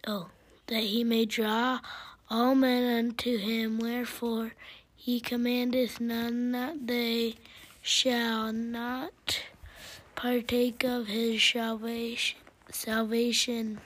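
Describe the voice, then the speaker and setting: Slow, halting higher-pitched female reader. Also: flat delivery. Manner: halting, stumbling over words. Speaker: family member reading scripture aloud. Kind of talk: halting scripture read-aloud.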